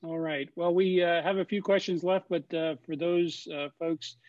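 A man hosting a question-and-answer session, speaking haltingly with many fillers, as if over a video call. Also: on the high side, fairly even intonation, a little mellow, no room sound. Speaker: host of a webinar Q&A. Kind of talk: casual moderated Q&A. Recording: dry, dull lo-fi sound.

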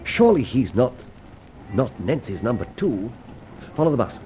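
A brisk, middle-aged gentleman sleuth played by a male actor in an old radio serial. His delivery swings freely in pitch as he muses and exclaims, on a dull, muffled recording. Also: very animated, slight background noise, lightly acted. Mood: urgent, planning.

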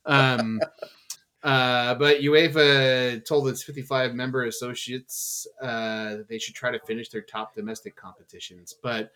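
A man's voice: mid-range voice, steady tone, a little slow, sharp tone, smooth. A man co-hosting a casual podcast who talks bluntly, swears and jokes around. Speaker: podcast co-host. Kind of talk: casual chat.

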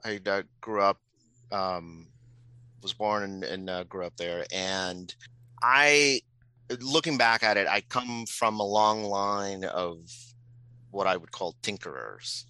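A middle-aged man from Puerto Rico talking casually as an interview guest, reflecting in halting, hesitant phrases. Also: on the low side, bright, forceful tone, clean tone, no echo, low-quality recording.

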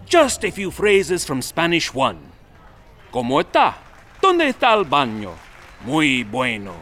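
Audio drama: a man doing a playful imaginary guy tossing in Spanish phrases, lively, upbeat. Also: on the high side, measured pace, vocal fry, exaggerated voice. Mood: jovial. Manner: playful, drops in stock Spanish words.

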